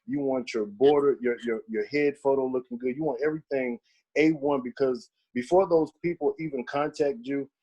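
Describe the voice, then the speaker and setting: A man's voice: mid-range voice, moderate pace, mellow, husky, dull audio. A man leading a casual training session, talking conversationally with lots of fillers. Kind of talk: casual group training talk.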